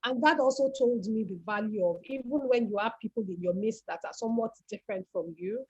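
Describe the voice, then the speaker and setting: Husky Nigerian woman telling her family story. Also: on the high side, fast talker, darkish tone. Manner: reflective, repeats words while searching for them. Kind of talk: personal storytelling on an online talk. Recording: dull lo-fi call audio.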